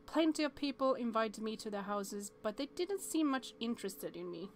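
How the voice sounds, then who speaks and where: A woman's voice: a little high, animated, moderate pace, slight background noise. A woman streamer reading a visual novel aloud, stumbling over words and chatting to viewers between passages.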